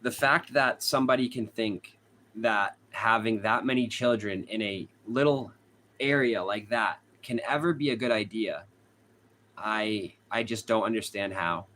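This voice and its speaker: A man's voice: lowish voice, measured pace, bright, forceful tone, a little hiss or hum. A young man with a Boston accent hosting a casual true crime livestream, chatting with viewers, reading their comments aloud and laughing often. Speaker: true crime channel host. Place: hot room at home.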